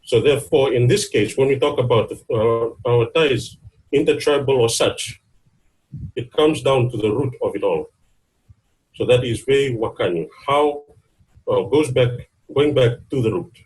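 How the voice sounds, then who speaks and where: A man with a Fijian accent and a slightly shaky voice, giving an informal talk to an online gathering with hesitant pauses. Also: medium pitch, a little mellow, slightly rough, rough recording. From Fiji, Lau islands. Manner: hesitant, pausing mid-phrase. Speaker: guest speaker, university student. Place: online video meeting.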